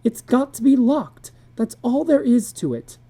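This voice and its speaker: A woman's voice: on the high side, very animated, a little slow, warm tone, husky, pitched above the performer's own voice, heavily acted. A woman voicing a frightened, pleading housewife in a dramatic story reading, anxious and insistent.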